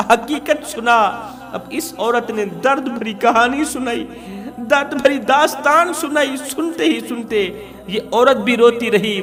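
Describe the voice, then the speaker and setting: A man's voice: very high-pitched, a bit bright. A man with a Pakistani accent preaches, telling a moral tale in a dramatic, declamatory sermon style with emphatic repetition. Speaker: preacher and religious storyteller. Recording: long hall reverb with music.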